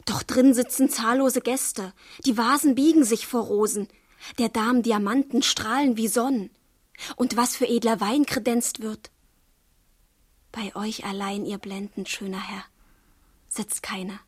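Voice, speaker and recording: An actress playing an earnest, lovestruck young girl in a radio drama, speaking softly and hesitantly with a breathy voice. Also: on the high side, fairly even intonation, unhurried. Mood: fervent. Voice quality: breathy, soft. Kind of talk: literary radio drama acting.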